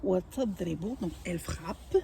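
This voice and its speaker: A woman's voice: fairly low pitch, animated, unhurried, gravelly, dark tone, a little hiss or hum. An older woman whose first language is Arabic, teaching a patient language lesson and explaining each word with small restarts. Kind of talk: home-made language lesson.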